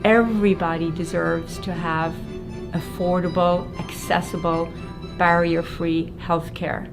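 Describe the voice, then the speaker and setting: A woman who leads a health center, speaking in a measured, earnest way about homelessness and health care. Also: fairly low pitch, flat delivery. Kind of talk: earnest advocacy speech. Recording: music bed under voice.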